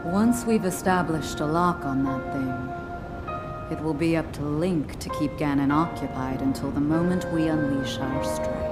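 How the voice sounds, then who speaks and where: An actress playing a proud, commanding female desert warrior chieftain in a game cutscene, speaking in a regal, relishing way. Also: sits low. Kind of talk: video game cutscene acting.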